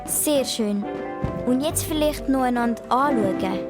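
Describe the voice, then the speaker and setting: A woman's voice: fairly high pitch, a little slow, loud music behind the voice, natural voice. A woman with a Swiss German accent playing an eager, resourceful young girl in a children's radio play. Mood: eager, coaxing.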